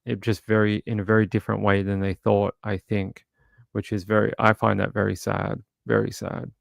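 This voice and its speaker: A man talks over a true crime case to his livestream audience in a low, gravelly, crackly voice. He speaks haltingly, with plenty of "uh" and restarts. The sound is close and dry, with no room around it. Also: level tone, dry recording. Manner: halting, many fillers and restarts. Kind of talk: casual livestream commentary, reading chat.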